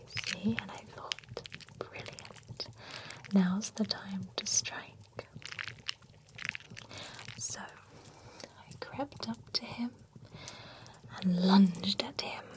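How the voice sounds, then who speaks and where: A woman's voice: medium pitch, low-quality recording. A woman whispering as a vampire who runs a spooky beauty spa, in an ASMR roleplay. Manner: soft, unhurried whisper throughout.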